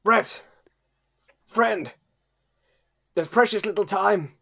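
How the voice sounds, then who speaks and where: A man playing a doomed, noble half-slime creature, speaking dramatically and haltingly in improvised audio comedy. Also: high voice, creaky, sharp tone, exaggerated voice. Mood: solemn, noble.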